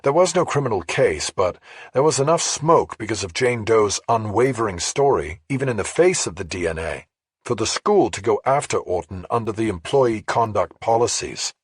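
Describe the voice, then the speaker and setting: A man reads as a female investigative journalist in a thriller audiobook. She is measured and matter-of-fact, explaining with care in a very husky, dryly recorded voice. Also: lowish voice, lively intonation, dry recording, studio quality. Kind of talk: audiobook character dialogue.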